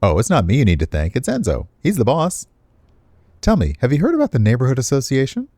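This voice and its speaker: A man's voice: mid-range voice, wildly swooping pitch, fast, dark tone, no echo, pitched above the performer's own voice. A man voicing an easygoing, frank male restaurant worker in a visual novel. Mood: chatty.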